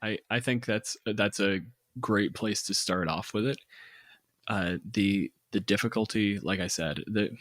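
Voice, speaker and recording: A man with a fairly low voice talks through ideas in a casual planning chat. He is halting as he thinks aloud and swears easily, recorded dry and close. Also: a bit bright, no echo.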